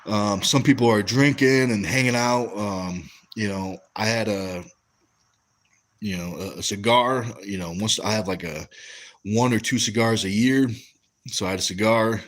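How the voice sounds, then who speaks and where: A young American man talks casually on a livestream, answering chat in a fairly low voice. He rambles, restarts his sentences and leans on "um" and "you know" as he tells stories. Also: measured pace. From West Coast of the US. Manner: rambling, many fillers and restarts. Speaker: livestream host reading chat.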